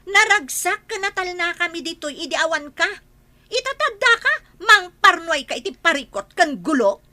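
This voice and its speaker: A woman playing a spiteful, scheming nanny in an Ilocano-accented radio drama, with a sharp, confrontational manner. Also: very high-pitched, sing-song, moderate pace, no room sound, heavily acted. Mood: angry, jealous.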